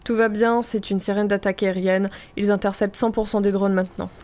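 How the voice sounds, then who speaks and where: A woman's voice: medium pitch, level tone, a little fast, a little mellow, natural voice. A woman acting in a naturalistic audio drama as someone relaying air-raid alerts from her phone. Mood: tense, hurried. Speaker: actress in an audio fiction clip.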